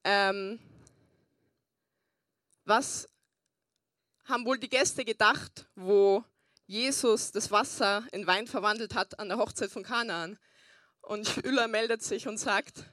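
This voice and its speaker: A woman's voice: mid-range voice, slow, long pauses, fairly bright tone, no echo, studio quality. A young woman pastor preaching a sermon and telling her personal testimony, earnest with frequent fillers. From Bavaria, Germany.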